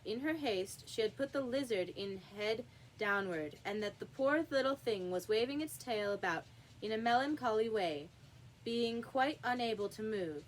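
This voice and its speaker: A woman's voice: on the high side, slight background noise, poor audio. A woman reading a children's classic aloud as a storyteller, with emphatic, acted-out delivery. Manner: emphatic, acts out character dialogue. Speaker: storybook reader.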